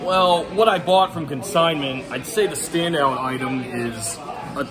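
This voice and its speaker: A man's voice: a little high, unhurried. Enthusiastic man talking tech specs. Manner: detailed, enthusiastic technical talk. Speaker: festival visitor. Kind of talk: casual tech chat. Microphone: phone camera at arm's length. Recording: crowd chatter behind.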